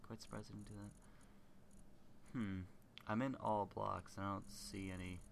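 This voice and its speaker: A man's voice: on the low side, steady tone, moderate pace, gravelly, slight background noise. A young man hosting casual gameplay commentary, stuffed up with a cold and rambling as he thinks aloud. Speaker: gaming channel host.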